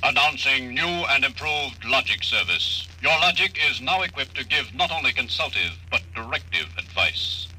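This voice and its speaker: A formal talking computer in a 1950s radio play. The tone is intensely bright and declamatory, at a middling pitch, with faint noise from an old broadcast. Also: male voice, animated, moderate pace, hardly any pauses, rough recording. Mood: proclaiming. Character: talking computer making a formal service announcement. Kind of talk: 1950s radio drama announcement.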